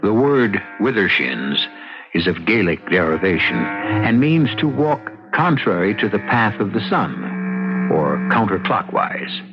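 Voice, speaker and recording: A man hosting a radio mystery series with ominous, deliberate, theatrical scripted narration. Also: fairly low pitch, very animated. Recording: dull old radio recording with loud music.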